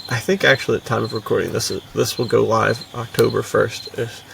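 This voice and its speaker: A man chatting casually and upbeat as a podcast co-host, in relaxed slang. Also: mid-range voice, a little fast, creaky, far from the mic, noisy background. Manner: laid-back slang, laughs easily.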